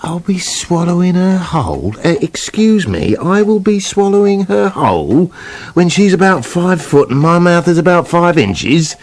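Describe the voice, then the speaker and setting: An actor voicing a squeamish, sarcastic big bad wolf in a comic radio play, husky and forever complaining. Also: male voice, high-pitched, very animated, unhurried, dry recording, heavily acted. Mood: reluctant, indignant. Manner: sardonic, put-upon complaining.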